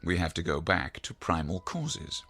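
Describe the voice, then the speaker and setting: An actor playing a calm, pedantic psychiatrist in an audio drama, speaking in a measured, slightly pompous, professorial way. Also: male voice, deep voice, vocal fry, husky, faint music behind, full character voice. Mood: lecturing. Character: calm, pedantic male psychiatrist, lecturing and reassuring.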